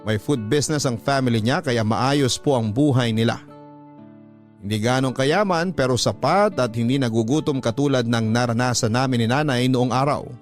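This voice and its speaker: A man's voice: mid-range voice, moderate pace. A man with a Filipino accent narrating a sentimental letter in a radio storytelling style. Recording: music under the voice.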